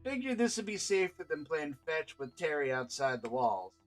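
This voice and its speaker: A man acts out a carefree young-at-heart elf adventurer for a tabletop story. He talks in a rambling Southern US drawl, pitched on the high side. He is cocky and totally unbothered, with faint music underneath. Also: big pitch swings, moderate pace. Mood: bored, carefree.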